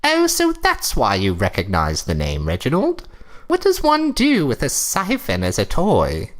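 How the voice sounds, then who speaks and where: A woman's voice: very deep voice, huge pitch swings, measured pace, heavily acted. A woman playing a snooty, fussy older wife in a comic story reading, with a prim, theatrical, condescending delivery.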